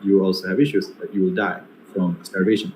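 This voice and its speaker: A man's voice: low voice, flat delivery, fairly quick, a little mellow. A young man from Bangladesh with a Bangladeshi accent, answering seminar questions with many fillers and restarts. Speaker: guest speaker. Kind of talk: online seminar Q&A. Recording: echoey room with faint noise.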